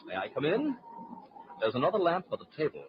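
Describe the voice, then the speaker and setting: An actor playing a suave, icily polite husband with a secret, in formal, measured old-time radio drama style. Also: male voice, fairly high pitch, huge pitch swings. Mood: smoothly polite. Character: suave, coldly courteous husband hiding a secret. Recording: muffled, noisy old radio recording.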